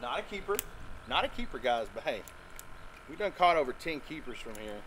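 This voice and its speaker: Excitable man fishing outdoors. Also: medium pitch, slight background noise. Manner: excitable, exclaiming, quick laughs. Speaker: fishing channel host. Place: outdoors on a boat by a dock.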